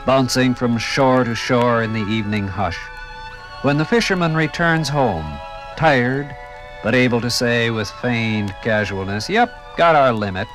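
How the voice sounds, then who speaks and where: A man's voice: mid-range voice, fairly bright tone, poor audio. A man giving a lyrical, dramatic narration in the style of a 1950s radio documentary. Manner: lyrical, rhetorical, dramatic phrasing. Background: music.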